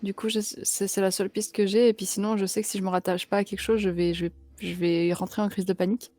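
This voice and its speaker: A woman's voice: mid-range voice, level tone, fast talker, mellow. A woman taking part in a tabletop roleplaying game, improvising casually and thinking aloud with hesitation.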